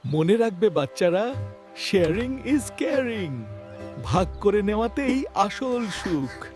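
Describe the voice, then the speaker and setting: Man playing an earnest cartoon animal, high pitch, slow pace, very expressive, music behind. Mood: kindly, instructive. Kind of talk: children's cartoon storytelling.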